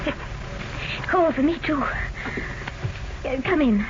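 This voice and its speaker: An actress playing a wary, anxious wife with halting, guarded speech in an old radio mystery. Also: female voice, high voice, animated, full character voice. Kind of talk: 1940s radio mystery acting. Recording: very noisy, dull vintage radio recording.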